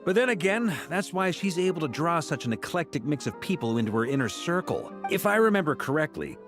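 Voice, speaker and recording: An easygoing knight commander for a video game. His voice sits slightly high for a man and moves expressively, breezy and hearty. Faint music runs underneath. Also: very animated, fairly quick. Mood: amused. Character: easygoing, jovial adult male knight commander. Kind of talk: English video game voice acting.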